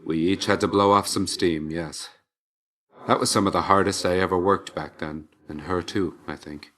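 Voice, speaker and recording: Game role: young male death god, deep low register, dutiful, reserved, measured delivery. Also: steady tone, a bit bright. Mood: hesitant, reflective. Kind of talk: video game character voice acting.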